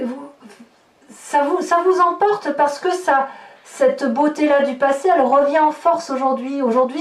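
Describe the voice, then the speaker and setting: Female tarot host, higher-than-average voice, emphatic improvised guidance with repeated phrases, clearly echoey recording. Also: darkish tone. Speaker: tarot reader, horoscope host. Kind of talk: improvised tarot reading commentary addressed to viewers. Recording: roomy echo, distant microphone.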